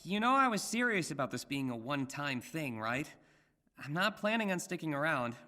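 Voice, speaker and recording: A man's voice: on the high side, big pitch swings, normal speed, pushed and bright. An American man voicing an earnest, awkward young superhero in a video game, speaking in a hesitant, rambling way. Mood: awkward reluctance. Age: young adult. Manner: hesitant, self-conscious, rambling.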